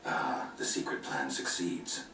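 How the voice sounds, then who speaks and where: An actor playing a gruff, stoic monster hunter in a video game, deep and gravelly, terse and dry. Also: male voice, a little slow. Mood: matter-of-fact. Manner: terse, deadpan. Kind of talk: video game cutscene acting. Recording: very echoey game audio, distant, faint music.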